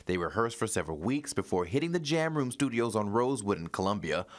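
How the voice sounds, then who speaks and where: A man's voice: fairly low pitch, very animated, fast. A man working as a TV news reporter, delivering a feature in a polished broadcast style. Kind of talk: scripted news feature narration with some studio chat.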